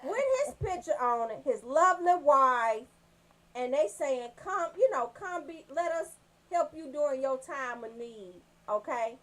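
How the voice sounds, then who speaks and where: Woman, very high voice, big pitch swings, brash comic radio storyteller. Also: unhurried. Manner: animated, brash, freely swearing. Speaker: radio show host.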